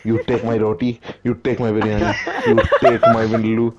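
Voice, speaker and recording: A man's voice: lowish voice, little pitch movement, fairly quick, close to an everyday voice. A man playing a boastful man from Delhi who tells a rambling comic tale of his teenage years, laughing at his own jokes. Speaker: storyteller. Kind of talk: comedy sketch monologue. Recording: dull, faintly noisy recording.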